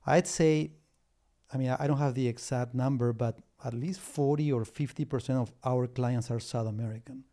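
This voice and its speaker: Halting Peru-born man with a mellow voice. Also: mid-range voice, steady tone. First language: Spanish. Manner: halting, frequent restarts and fillers. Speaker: guest, business litigation lawyer. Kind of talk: casual interview talk.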